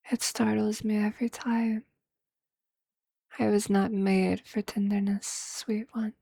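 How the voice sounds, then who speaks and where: A man voicing an obsessive, tender androgynous vampire lover in an ASMR roleplay, speaking in a hushed, breathy, deliberate and often whispered voice with a seductive manner. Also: high voice, no echo, heavily acted. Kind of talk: ASMR romantic roleplay, intimate scripted monologue.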